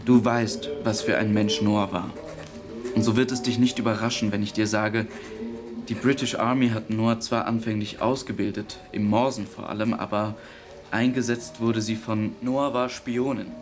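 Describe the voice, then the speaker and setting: An actor playing an earnest, troubled grown brother talking to his mother in a German radio drama, halting and emotional. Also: lowish voice, level tone, over music, lightly acted. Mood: anguished.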